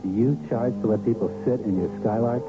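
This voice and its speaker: A man's voice: mid-range voice, steady tone, brisk, loud music behind the voice, close to an everyday voice. A male actor playing a polite, bemused American interviewer in a radio commercial, a straight-man announcer. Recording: muffled old radio advert.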